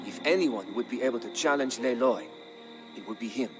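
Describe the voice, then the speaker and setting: A man with a medium-pitched voice narrates a dramatic historical tale. He tells it in a measured, solemn way, like a scripted game storyline. Clear background music runs behind his voice.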